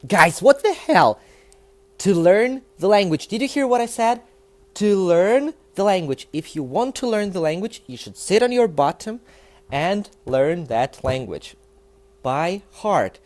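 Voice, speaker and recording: A young man with a Russian accent, a native Russian speaker, talking to the camera in a casual, animated and emphatic way, with many fillers. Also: sits high, a little slow. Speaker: language learning vlogger.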